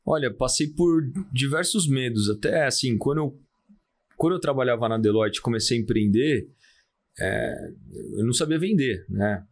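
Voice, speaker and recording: Brazilian man, thirties, calm podcast guest; halting delivery, mid-pitched, frequent restarts. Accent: Brazilian Portuguese. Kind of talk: casual interview talk.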